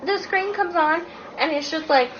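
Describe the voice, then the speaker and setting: A woman co-reviewing a movie in casual chat, animated and informal, peppering her talk with "like". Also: very high-pitched, normal speed, a crowd in the background. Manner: animated, informal, frequent "like", swearing. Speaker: guest co-reviewer. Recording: muffled lo-fi, noticeable background noise.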